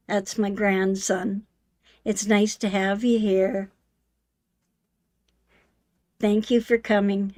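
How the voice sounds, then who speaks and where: An older woman hosting a live sewing demonstration, talking in a homey, unhurried way with many ums, her voice slightly hoarse. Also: medium pitch, darkish tone, no room sound, clean studio sound. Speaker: sewing channel host. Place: home sewing room.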